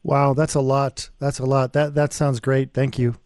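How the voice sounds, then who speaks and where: A man co-hosting a food radio show, enthusiastic and a bit halting as he introduces a guest. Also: medium pitch, little pitch movement, darkish tone, no echo, studio quality.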